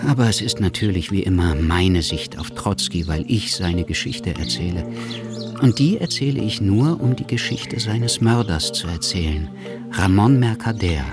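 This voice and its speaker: An actor playing a melancholy Cuban writer, narrating a radio drama in a reflective storytelling manner. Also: male voice, sits low, expressive, normal speed, over music. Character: melancholy Cuban writer telling his tale, reflective man.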